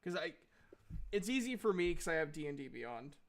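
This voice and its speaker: A man running a tabletop game as game master, explaining rules in an informal, halting way. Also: a little high, big pitch swings.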